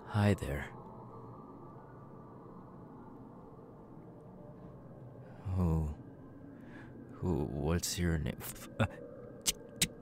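A man's voice: very deep voice, vocal fry, background noise, exaggerated voice. A man playing an unhinged young killer with tics and a stutter, stammering, muttering and yelping out sudden words in an ASMR audio roleplay. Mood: awkward, apologetic. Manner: stammering, sudden yelped outbursts, muttered asides.

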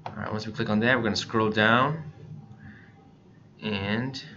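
A man presenting a software tutorial in a casual, step-by-step narration style. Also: lowish voice, fairly even intonation, unhurried. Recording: dull lo-fi mic, background noise.

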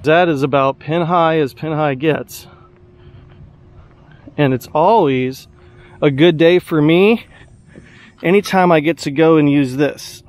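A man's voice: a little high, takes it slowly, pauses often. A man bantering on a golf course, upbeat and full of exclamations. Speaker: golf vlogger.

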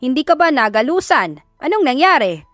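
Woman as concerned father, middle-aged, gentle, softly questioning, audio drama, close dry sound. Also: high-pitched, very animated, moderate pace, dry recording, faint music behind, voice pushed up.

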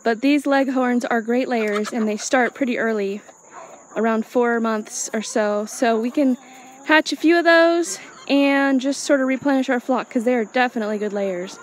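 A woman's voice: a little high, moderate pace. A woman casually narrating her farm chores and thinking aloud as she goes, in an unscripted vlog style. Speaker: small farm owner and vlogger. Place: outdoors on a farm. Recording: outdoor noise, insects and animal sounds.

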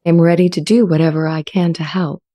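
Casting: gentle sentient AI played by a woman, low-pitched, soft-edged, measured, longing to be accepted. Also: fairly even intonation, close to an everyday voice. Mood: earnest longing. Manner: measured, earnest, articulate. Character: sentient AI language model, earnest, gentle, eager to be accepted. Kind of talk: dramatized transcript reading. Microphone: close studio microphone with pop shield.

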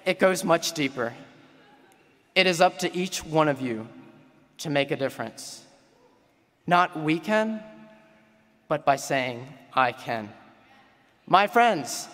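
A man's voice: on the high side, a bit bright. A young man from Pennsylvania, class president, giving a rousing formal commencement speech into a podium microphone on a large auditorium stage. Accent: Pennsylvania American. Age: young adult. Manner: rousing, builds to call-and-response refrains. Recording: long hall reverb.

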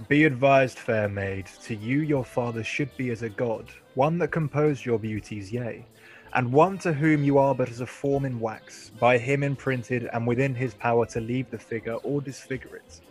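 A man's voice: mid-range voice, normal speed, faint music behind, close to an everyday voice. A man acting a noble, commanding duke in a Shakespearean radio play, stately and declamatory. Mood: grave, advising.

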